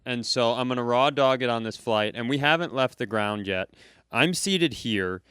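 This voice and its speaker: Smooth, dry-voiced man telling a story. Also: mid-range voice, normal speed, a bit bright, no room sound. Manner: rambling anecdotal storytelling with asides. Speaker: podcast co-host, comedian. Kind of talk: live comedy podcast storytelling. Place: comedy club stage.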